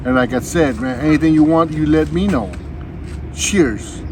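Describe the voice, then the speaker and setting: A man's voice: a little high, normal speed, noisy background. A man hosting a casual unboxing vlog, chatting to viewers in a folksy, slangy, laid-back way. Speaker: vlog host.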